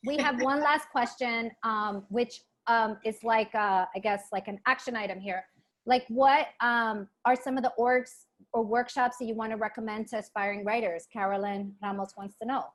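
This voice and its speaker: A woman's voice: a little high, moderate pace, a bit bright. A woman moderating an online book club discussion, speaking informally and earnestly. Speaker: event moderator. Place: online video event.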